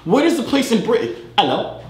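A young man hosting a comedy video, sliding between a loud, high-pitched mock British accent and a low, casual drawl. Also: big pitch swings, normal speed, reverberant, low-quality recording. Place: bedroom studio, indoors.

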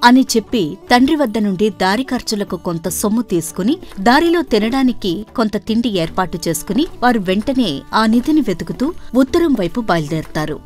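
Woman narrating over background music. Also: medium pitch. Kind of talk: scripted story narration.

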